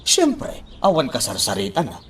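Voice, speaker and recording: A man's voice: mid-range voice, huge pitch swings, moderate pace, far from the mic, a little hiss or hum. An actor playing a scheming middle-aged man in a radio drama. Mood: calculating.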